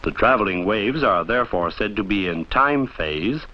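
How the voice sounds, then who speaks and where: A low male voice narrates an instructional film with lively, varied intonation. He speaks formally and with measured, didactic phrasing. The sound is that of an old training film, muffled and lacking detail.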